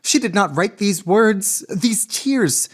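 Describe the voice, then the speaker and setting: A woman's voice: mid-range voice, expressive, takes it slowly, breathy edge. A woman playing a devoted, grieving noblewoman in radio theater, speaking with earnest, formal period-drama delivery. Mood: dawning anguish. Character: grieving, devoted noblewoman, long-time beloved of a poet.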